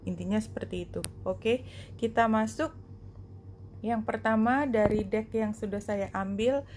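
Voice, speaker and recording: A woman giving a spoken card reading to viewers, explaining reflectively in short phrases with pauses. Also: on the high side, background noise. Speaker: tarot and oracle card reader.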